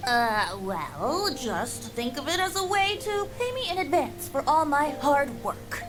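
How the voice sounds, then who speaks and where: A woman's voice: sits high, very animated, a little slow. A woman voicing an easygoing, playful young male bard in a video game, with a light, boyish, teasing voice. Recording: game music behind the voice.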